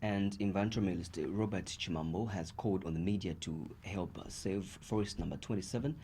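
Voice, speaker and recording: A man's voice: deep voice, fairly quick, warm tone, slight background noise. A man with a Zambian accent reading a scripted news bulletin. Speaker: news reader.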